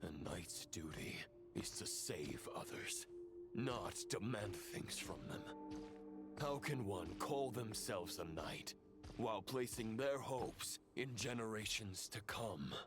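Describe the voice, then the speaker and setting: An actor playing a scornful, fatalistic ancient knight in a video game, making grave theatrical pronouncements with phrases trailing into breath. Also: male voice, lowish voice, lively intonation, slow. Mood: stern. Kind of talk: dramatic video game voice acting. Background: music.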